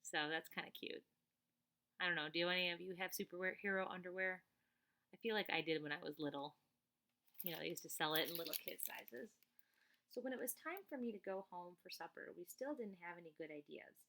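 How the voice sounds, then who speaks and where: Female teacher, slightly low-pitched, reading a kids' chapter book aloud with chatty explanatory asides. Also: brisk, bright, forceful tone. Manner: conversational, explains the story to young listeners. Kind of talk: storybook read-aloud with casual asides to listeners.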